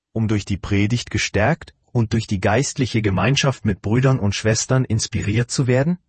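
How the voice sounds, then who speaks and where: A man preaching a sermon to his congregation, asking searching questions in an earnest, measured way. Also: fairly low pitch, husky, dry recording.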